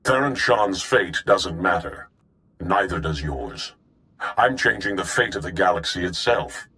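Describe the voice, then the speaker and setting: An actor playing a grim, grandiose warlord in a video game, speaking gravely and with disdain. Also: male voice, sits low, lively intonation, a little slow, pushed and bright, full character voice. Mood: cold contempt. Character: grim, grandiose male warlord bent on changing the galaxy. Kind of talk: dramatic video game acting.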